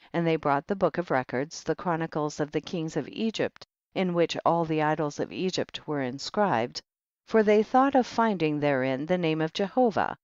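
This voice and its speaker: A man's voice: on the high side, no room sound. Solemn man reading scripture, dull dry recording. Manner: solemn, measured reading with archaic diction. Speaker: audiobook reader.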